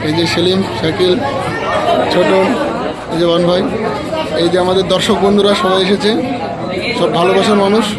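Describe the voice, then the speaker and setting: High-voiced man vlogging in a crowd. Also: level tone, moderate pace, long pauses. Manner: teasing, questioning his friends. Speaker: vlogger and host. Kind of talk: casual handheld vlog chat, introducing friends to viewers. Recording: busy crowd and street noise.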